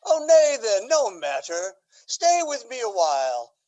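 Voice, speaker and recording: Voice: mid-range voice, very animated, measured pace, darkish tone, poor audio. An actor playing an aging, scheming Duke in a Shakespearean radio drama, with a theatrical, courtly and emphatic delivery. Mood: probing, courtly. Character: aging, scheming Duke, aggrieved father feigning lovesickness.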